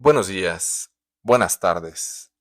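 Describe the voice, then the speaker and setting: Hesitant man, low dry voice. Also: expressive, slow pace, no room sound. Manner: hesitant, many fillers and restarts. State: sore throat, coughing. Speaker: book reviewer, show host. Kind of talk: casual spoken book review.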